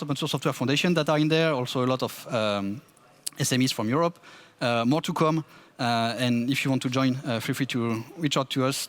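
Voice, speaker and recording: A man presenting at a tech conference, often restarting and repeating words. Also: medium pitch. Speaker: conference speaker.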